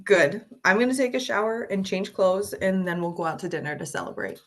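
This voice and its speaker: Casting: actress as loving, earnest young romantic partner, mid-pitched and expressive, table-read drama. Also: female voice, fairly quick. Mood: affectionate. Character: affectionate, earnest woman talking to her fiancée. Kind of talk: screenplay table read acting.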